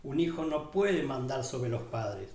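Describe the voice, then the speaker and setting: A man's voice: mid-range voice, expressive, a little slow, darkish tone. A man with an Argentine accent, a pastor preaching a sermon, calling the listeners beloved and checking in with them often as he goes. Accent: Argentine Spanish. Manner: repeats tag questions, list-like phrasing, addresses listeners as beloved. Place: church hall. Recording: echoey church room from far off.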